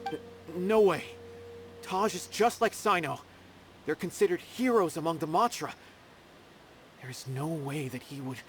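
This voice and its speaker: A man's voice: a little high, unhurried, long pauses, a bit bright, slight background noise. A man voicing an earnest, anxious, loyal junior investigator in a video game, speaking expressively. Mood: disbelieving, defensive. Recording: background music.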